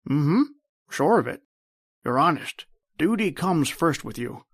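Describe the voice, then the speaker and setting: Man playing a kindly older doctor, higher-pitched. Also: expressive, unhurried, creaky, breathy edge, no echo, clean studio sound, heavily acted. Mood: kindly, encouraging. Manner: folksy, hesitant, encouraging. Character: older kindly male doctor, fatherly and appreciative. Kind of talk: old-time radio drama acting.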